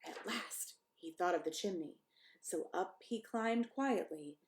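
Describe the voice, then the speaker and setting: A woman's voice: on the low side, measured pace. A woman pastor reading a children's story aloud expressively, with chatty asides to young listeners.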